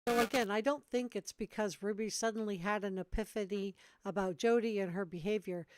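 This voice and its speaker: A woman's voice: medium pitch, steady tone, brisk, darkish tone, no room sound. A woman host giving analytical commentary on clips. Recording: music bed behind voice. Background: background music.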